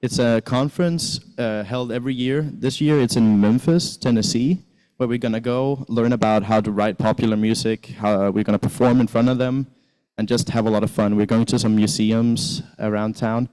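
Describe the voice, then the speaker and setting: Teen guy from Sweden, chatty presenter, mellow dark voice, mid-pitched. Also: fairly even intonation, moderate pace, clean tone, rough recording. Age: teens. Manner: chatty, rambling, many fillers and restarts. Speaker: exchange student presenter. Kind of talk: casual presentation with slides.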